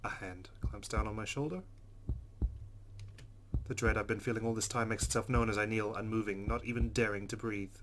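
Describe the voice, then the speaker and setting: A man playing a game, reading its text aloud dramatically and reacting in a jumpy, expressive way. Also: fairly low pitch, fairly quick, slight background noise. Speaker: let's player. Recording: loud game sound behind voice. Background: game audio.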